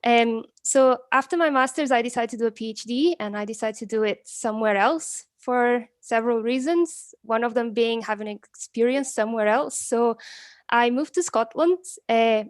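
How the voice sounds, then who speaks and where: Woman, fairly high voice, chatty researcher presenting online, hesitant phrasing, dry close sound. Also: dry recording. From Portugal. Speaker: guest speaker, postdoctoral researcher. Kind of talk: informal online conference talk. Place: online live stream, video call.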